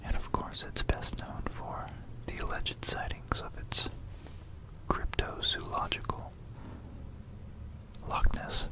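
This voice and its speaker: An ASMR host whispering an educational history talk in an unhurried, explanatory manner, close to a stereo microphone. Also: low-quality recording. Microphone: close stereo binaural microphone.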